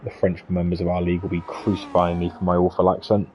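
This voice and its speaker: A man's voice: low-pitched, monotone, fast, dull audio, slight background noise. A man giving live, chatty commentary on an online racing league, upbeat with playful asides. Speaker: esports race commentator.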